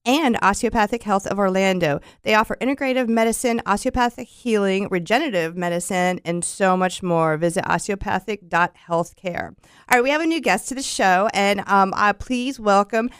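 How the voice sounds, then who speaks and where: A woman hosts a local radio talk show from the studio, chatty and upbeat, with frequent ums and restarts. Her voice is clear and smooth. The sound is dry and close to the mic. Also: medium pitch, normal speed, no room sound. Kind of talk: casual radio interview. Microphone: studio microphone.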